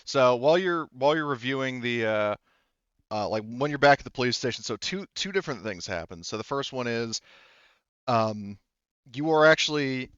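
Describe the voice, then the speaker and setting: A man's voice: medium pitch, animated, moderate pace, fairly bright tone, clear, resonant tone, dry recording, dull audio. A man running a tabletop roleplaying game as game master, narrating in a hesitant, improvised, rambling way.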